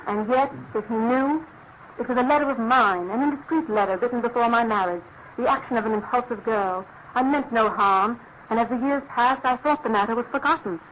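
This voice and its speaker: A woman playing an anguished upper-class English wife in a radio drama, pleading formally and haltingly. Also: a little high, close to an everyday voice. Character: anguished aristocratic English wife confessing a guilty secret. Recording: thin phone-like radio sound, clear noise.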